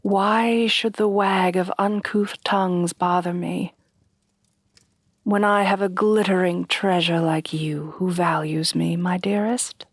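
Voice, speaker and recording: A woman playing a refined, tender headless horsewoman bride in a soft-spoken ASMR roleplay, with formal, genteel phrasing. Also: on the low side, steady tone, takes it slowly, no echo, heavily acted. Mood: affectionate, calm. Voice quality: soft, breathy at times.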